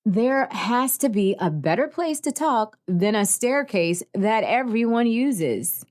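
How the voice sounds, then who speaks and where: A woman's voice: on the high side, expressive, slow pace, a little mellow. A woman playing a practical, skeptical teenage girl who questions things in an audio drama. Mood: dry.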